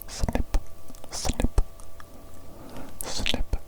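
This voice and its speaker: An evil doctor, acted out by a woman, in an ASMR medical roleplay. The voice stays in a whisper and moves very slowly. The manner is patient and commanding, with soothing, repeated instructions and praise for a big creature. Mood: patient, coaxing.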